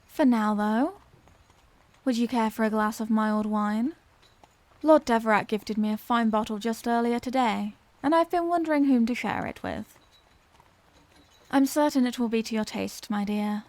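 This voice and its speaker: A woman's voice: a little high, moderate pace, a little mellow, no room sound, natural voice. A woman playing a refined, courteous Victorian vampire lady in an audio roleplay, speaking in formal, old-fashioned phrases. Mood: courteous, caring. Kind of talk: ASMR audio roleplay, scripted character acting.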